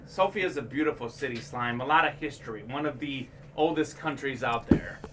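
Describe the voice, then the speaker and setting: A man's voice: medium pitch, brisk, a bit bright, recorded from a distance, slight background noise. A young man born in Bulgaria, co-hosting a sports memorabilia stream in a casual, chatty way, full of sports facts. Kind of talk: casual chat.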